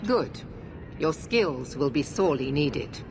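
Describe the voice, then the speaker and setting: A woman playing a stern, commanding knight officer in a video game, speaking briskly and with authority. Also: sits low, expressive, noisy background, exaggerated voice.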